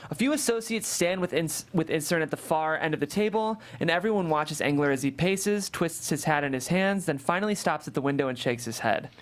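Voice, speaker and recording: A man reads scripted stage directions from a screenplay. His voice sits a little high for a man, and he delivers the action as narration for a table read. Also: lively intonation, brisk, few pauses.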